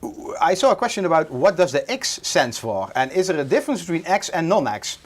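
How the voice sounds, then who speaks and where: A man's voice: on the high side, big pitch swings, a little fast. A man with a Dutch accent hosting a live stream, talking casually with many fillers and restarts. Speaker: stream host.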